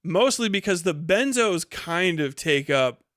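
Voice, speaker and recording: A man's voice: sits high, animated, measured pace, fairly bright tone, no echo, studio quality. A man in his thirties doing casual livestream commentary and reading chat in a blunt, sweary, incredulous way. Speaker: live streamer.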